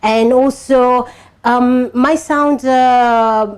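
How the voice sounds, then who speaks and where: A woman's voice: high-pitched, fairly even intonation, drawn-out words, smooth. A woman from India with Italian-influenced English, a deli owner answering interview questions with many fillers and restarts. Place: indoors in a new deli.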